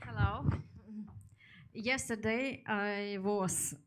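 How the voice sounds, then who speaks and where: A Ukrainian woman in her twenties doing a stand-up set in halting English with a Ukrainian accent, often laughing at her own jokes and slipping into her own language. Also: medium pitch, steady tone. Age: 20s. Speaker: stand-up comedian. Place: comedy club stage with a live audience.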